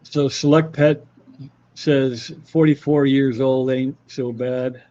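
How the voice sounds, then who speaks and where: A man's voice: medium pitch, fairly even intonation, takes it slowly, warm tone, dry recording. A middle-aged man hosting a casual livestream, rambling and joking as he reads and answers viewer comments. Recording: dull, slightly muffled audio.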